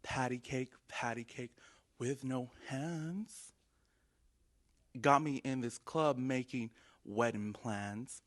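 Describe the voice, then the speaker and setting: Male dramatic reader, average pitch, very slow mock-serious delivery. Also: fairly bright tone. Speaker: news reporter reading lyrics. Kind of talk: dramatic spoken reading.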